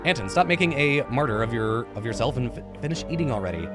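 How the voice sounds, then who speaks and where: A man's voice: medium pitch, lively intonation, fast, close to an everyday voice. A man voicing an exasperated, scolding mother in a visual novel, nagging and curt. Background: game music.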